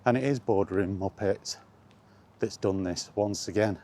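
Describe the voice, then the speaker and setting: A man's voice: fairly low pitch, measured pace, warm tone. A man chatting casually and bluntly in a rambling, resigned way, as a vlogger walking outdoors. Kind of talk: casual walking vlog commentary on video games.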